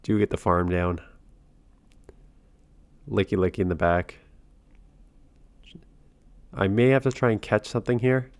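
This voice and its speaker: A sick man with a stuffy, nasal voice on the low side, talking through his game in a loose, casual way. He often cuts himself off and restarts his sentences. The sound is close and dry, with no room in it. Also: measured pace, pauses often, dry recording. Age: adult father. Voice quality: nasal, congested. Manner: thinks aloud, breaks off and restarts. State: sick with a cold. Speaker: gaming channel host. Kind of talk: casual battle commentary.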